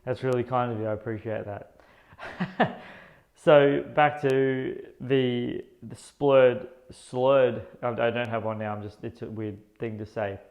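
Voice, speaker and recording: A man in his thirties, sharing his own story in an earnest, halting way, often restarting his words, filmed indoors under LED lights. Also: medium pitch, fairly even intonation, a little mellow, dull audio. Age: 30s. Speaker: vlogger. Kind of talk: personal health vlog.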